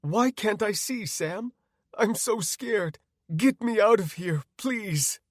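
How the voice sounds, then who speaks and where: A man's voice: high-pitched, animated, slow, no room sound, studio quality, pitched above the performer's own voice, full character voice. A man voicing a frightened, fragile young woman in an audiobook, pleading and tremulous. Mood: scared.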